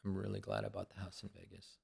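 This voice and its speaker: A man's voice: on the low side, completely flat delivery, fast, creaky, a little mellow, breathy edge. Older man, rambling and swearing. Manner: repeats phrases, swears casually. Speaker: vlogger. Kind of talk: casual rambling monologue.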